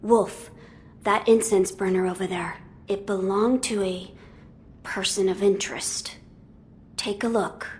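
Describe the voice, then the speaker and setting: A woman plays a young noble boy in a game cutscene. He speaks formally and earnestly, with little rise or fall in pitch. A light rumble runs behind the voice. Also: steady tone, unhurried, close to an everyday voice. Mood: resolute. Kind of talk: video game cutscene acting.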